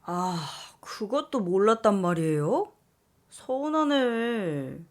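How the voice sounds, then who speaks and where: A woman voicing a calm, playful young male office coworker who teases gently. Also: mid-range voice, takes it slowly. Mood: teasing. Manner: teasing, gently joking.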